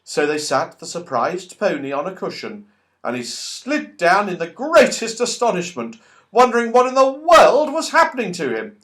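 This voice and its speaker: A man reading a children's story aloud as an audiobook narrator, expressive and animated. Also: high-pitched, normal speed. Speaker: audiobook reader.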